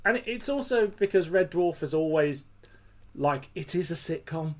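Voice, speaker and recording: A man's voice: fairly high pitch, expressive, unhurried. A British man chatting loosely on a podcast. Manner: rambling, chuckling often. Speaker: podcast host. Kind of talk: casual chat.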